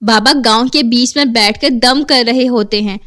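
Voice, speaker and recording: A woman narrating an animated horror tale as a scripted storyteller. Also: fairly high pitch, moderate pace.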